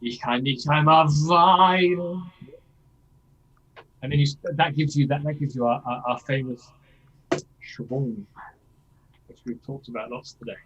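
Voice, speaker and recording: A man's voice: mid-range voice, unhurried, long pauses, a bit bright, lo-fi sound, slight background noise. A man coaching a singer in a masterclass, explaining things and checking in with "yeah?" as he demonstrates lines himself. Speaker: vocal coach.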